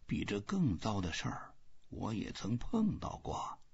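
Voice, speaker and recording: Man voicing a husky, weary old fisherman, musing slowly. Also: on the low side, wildly swooping pitch, darkish tone, lo-fi sound, exaggerated voice. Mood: quiet musing. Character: weary, reflective old fisherman, stoic and determined.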